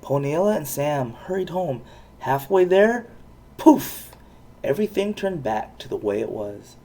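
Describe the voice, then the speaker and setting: Mellow, animated storybook reader. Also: mid-range voice, unhurried. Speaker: parent reading aloud. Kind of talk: expressive storybook narration for a child.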